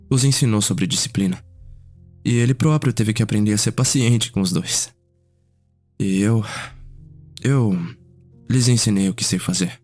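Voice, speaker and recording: A man with a Brazilian accent playing a courteous, reflective vampire who tells his clan's history in a measured ASMR roleplay. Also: lowish voice, darkish tone, close to an everyday voice. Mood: tender, reflective.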